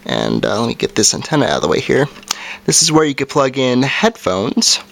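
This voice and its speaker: A man's voice: mid-range voice, huge pitch swings, a little fast, vocal fry, a bit bright. A man giving an informal product review, explaining things plainly step by step as an amateur video reviewer. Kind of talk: casual product review and demonstration.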